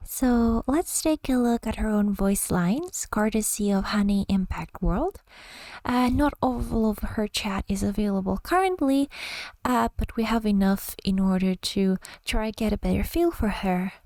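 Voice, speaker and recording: A woman's voice: medium pitch, lively intonation, a little slow, darkish tone, no room sound. A woman giving casual, chatty game commentary as a video host, rambling and playful. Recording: music behind the voice. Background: music.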